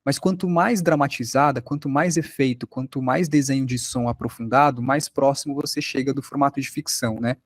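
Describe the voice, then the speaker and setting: Brazilian male workshop teacher, explanatory and informal, mid-pitched, closely recorded with little room sound. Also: fairly even intonation, brisk, few pauses, dry recording. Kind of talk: informal online lesson.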